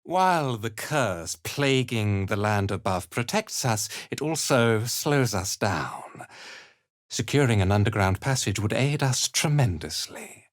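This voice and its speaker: Ruthless cult commander, video game villain, imperious, disdainful, deliberate pacing, widely swinging pitch, dry close sound. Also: male voice, animated, no echo. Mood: haughty, measured. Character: haughty, ruthless male cult commander, contemptuous slave driver. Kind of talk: video game character acting.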